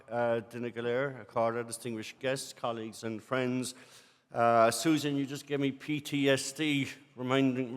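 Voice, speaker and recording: A man's voice: medium pitch, clear, resonant tone. A man speaking as a lord mayor, giving a formal, scripted welcome speech to a conference audience. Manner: formal, ceremonial, reads from a script. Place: large city hall with an audience. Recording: long echoing reverb of a large hall.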